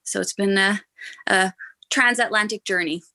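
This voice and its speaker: A woman's voice: medium pitch, moderate pace, pushed and bright. A Canadian woman in her thirties, a shop owner, friendly and explanatory with frequent ums as a podcast guest. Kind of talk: podcast interview.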